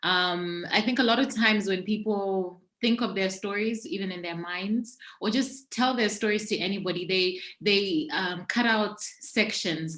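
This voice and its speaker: A woman's voice: mid-range voice, fairly bright tone, reverberant. A woman from Zambia, a podcast guest, telling her life story openly and thoughtfully, with easy laughs. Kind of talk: conversational storytelling interview.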